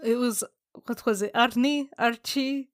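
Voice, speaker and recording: A woman with a slightly high voice plays a tabletop role-playing game. She talks casually and jokingly, improvising what her character does. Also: steady tone, a little slow, dry recording, clean studio sound. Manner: informal, joking.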